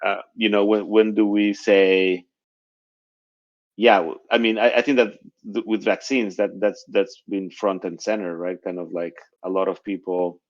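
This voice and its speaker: A man's voice: lowish voice, flat delivery, moderate pace, no room sound, dull audio. A man on a video call, musing aloud and ending many points with a questioning tag. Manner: musing, frequent "right?" tags. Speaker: discussion participant. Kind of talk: casual video call discussion.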